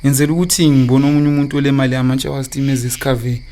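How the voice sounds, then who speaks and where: A man's voice: mid-range voice, level tone, racing, mellow, slight background noise, close to an everyday voice. A man with a Zimbabwean accent acting an evasive, philandering husband in a radio drama, stammering through his excuses. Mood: coaxing, reassuring. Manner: stammering excuses, switching into English phrases. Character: evasive philandering husband and father, smooth-talking, defensive.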